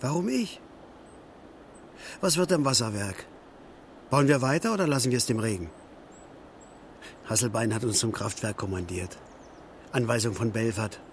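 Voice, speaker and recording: An actor playing a defiant, bitter brigade leader in a radio play, challenging and sarcastic. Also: male voice, medium pitch, animated, normal speed, pauses often, a little hiss or hum, lightly acted. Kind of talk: stylised radio play dialogue.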